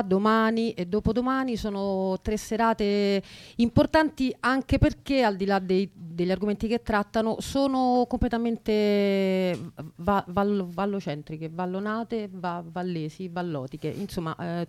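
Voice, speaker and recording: A woman's voice: medium pitch, unhurried, a little mellow, smooth, no room sound. A woman presenter announcing upcoming events on a radio show.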